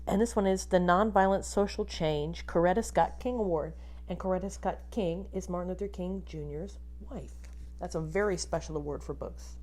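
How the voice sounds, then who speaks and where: A woman teacher reading a picture book aloud to children expressively, pausing to ask them questions and point things out. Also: fairly low pitch. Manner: animated, addresses young children with questions.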